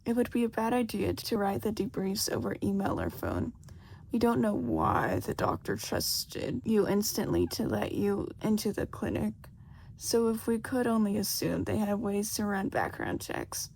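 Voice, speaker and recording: A woman voicing a serious male police detective in an audiobook, measured and businesslike as he explains a plan. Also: medium pitch, big pitch swings, vocal fry, a little mellow, a little hiss or hum, poor audio. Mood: businesslike, cautious.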